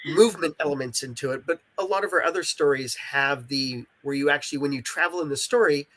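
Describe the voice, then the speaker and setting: Talkative man, slightly high-pitched voice. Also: fast, fairly bright tone, low-quality recording. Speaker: interviewed writer and creator of interactive audio dramas. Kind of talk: casual interview chat.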